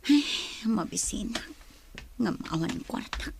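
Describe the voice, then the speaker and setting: A woman's voice: mid-range voice, animated, slow, vocal fry, a little mellow, in a whisper. An actress with a Filipino accent playing a distressed young pregnant woman in a radio drama. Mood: anxious, hushed.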